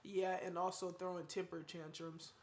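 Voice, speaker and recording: A woman's voice: fairly low pitch, flat delivery, normal speed, a little mellow, reverberant. A young woman and new mother chatting casually in a family vlog, talking in a rambling way. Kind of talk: casual chat.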